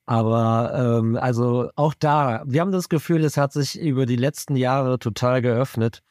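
A man, a musician guest in an interview, answering in a relaxed, matter-of-fact way. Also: mid-range voice, normal speed, no room sound, studio quality. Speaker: band member, musician guest.

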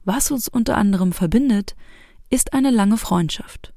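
A middle-aged woman from the former East Germany, hosting a podcast with spoken introductions and relaxed interviewing. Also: mid-range voice, normal speed, dry recording, clean studio sound. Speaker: podcast host.

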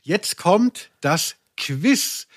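Higher-voiced man, playful podcast host. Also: animated, drawn-out words, no echo, studio quality. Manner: playful, tongue-in-cheek. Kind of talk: casual chatty podcast talk.

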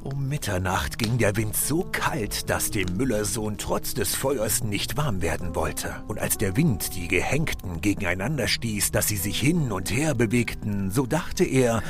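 A man with a fairly low voice narrates a fairy tale for an audio play. His delivery is very animated, and music plays clearly behind him. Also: measured pace, few pauses.

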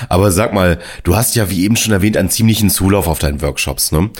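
Man, podcast host, very deep voice, chatty and joking. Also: fast, husky. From northern Germany. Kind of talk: casual podcast hosting.

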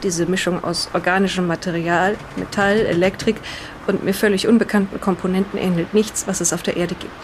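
A woman playing a polite, careful reader in an improvised audio play, speaking in a measured and slightly halting way. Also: lowish voice, steady tone, slight background noise, close to an everyday voice. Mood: intrigued. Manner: polite, measured, halting over unfamiliar words. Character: polite, careful woman reading an explorer's old diary. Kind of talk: improvised in-character audio play with diary reading.

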